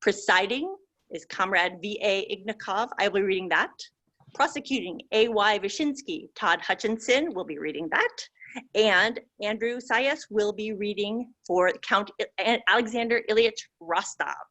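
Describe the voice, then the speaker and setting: A woman acts as presenter for a group reading. Her voice is medium in pitch and sharply bright. She introduces things in an informal way. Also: animated, moderate pace.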